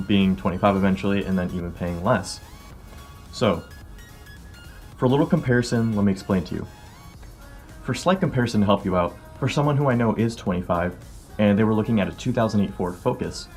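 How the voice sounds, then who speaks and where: A man's voice: fairly low pitch, a little mellow. A man in his early twenties with an American accent, talking informally to viewers as he explains things in a casual vlog. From California, United States. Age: early 20s. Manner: informal, conversational. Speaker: car vlogger. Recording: music behind the voice. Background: background music.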